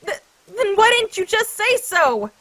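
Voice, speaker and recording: A woman voicing a prickly, lonely high school student who is quick to argue, in an amateur anime fan dub. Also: sing-song, fairly bright tone, full character voice, poor audio. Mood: indignant.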